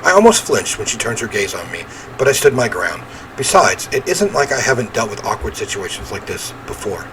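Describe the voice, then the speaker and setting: A man reading visual novel narration aloud in an expressive storytelling way, with casual commentary. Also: on the low side, brisk, slight background noise, poor audio. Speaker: video game let's player.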